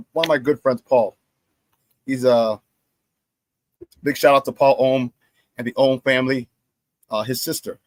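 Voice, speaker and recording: Brief: middle-aged male sports talk host, hoarse, dry close mic, animated and repetitive. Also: mid-range voice, dry recording. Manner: animated storyteller who repeats phrases and laughs at his own stories. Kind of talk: casual sports talk monologue.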